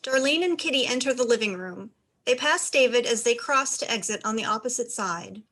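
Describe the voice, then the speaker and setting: Higher-pitched woman narrating stage directions. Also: lively intonation, brisk, pushed and bright. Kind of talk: scripted narration.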